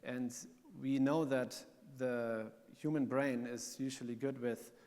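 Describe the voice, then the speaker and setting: A man's voice: medium pitch, fairly even intonation, takes it slowly, darkish tone. A man from Germany with a German accent, speaking on a conference panel in an earnest, explanatory way with some filler ums. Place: conference hall. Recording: long hall reverb.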